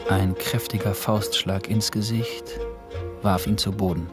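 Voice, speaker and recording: A man narrating a literary radio drama in a sober, measured manner. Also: on the low side, steady tone. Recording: voice over clear guitar music.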